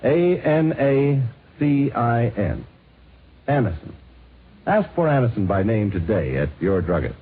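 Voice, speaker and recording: A man announcing a 1950s radio commercial in a deliberate, persuasive manner. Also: medium pitch, big pitch swings, husky. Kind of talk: 1950s radio advertising read. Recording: tinny, noisy vintage radio broadcast.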